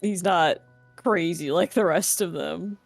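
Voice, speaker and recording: A woman's voice: on the low side, unhurried, gravelly, dry recording. Casual, slightly hesitant woman over game music. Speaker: stream guest.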